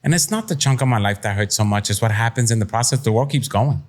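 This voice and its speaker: A man's voice: mid-range voice, animated, fast. A middle-aged man from Puerto Rico talking candidly as an interview guest, plain-spoken, streetwise and reflective. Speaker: podcast guest, entrepreneur. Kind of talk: candid conversational interview, personal storytelling.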